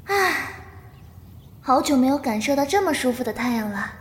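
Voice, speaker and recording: High, tender young female disciple, played by a woman. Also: expressive, normal speed, husky. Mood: teasing, puzzled. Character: gentle, affectionate young female disciple, elder martial sister. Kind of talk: Chinese period audio drama acting.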